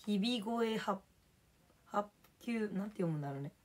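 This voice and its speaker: Young female streamer, voice hoarse, casual chatty delivery, reads viewer names out between topics. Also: mid-range voice, lively intonation, normal speed, darkish tone. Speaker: livestreamer. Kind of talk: casual live stream chat, thanking viewers for gifts.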